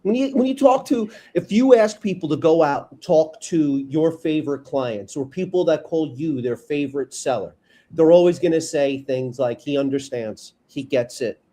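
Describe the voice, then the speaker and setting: Man, New York accent, fairly high voice, blunt, animated, casual talk. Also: normal speed. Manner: blunt, opinionated, animated storytelling. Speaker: sales trainer guest. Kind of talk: casual panel chat.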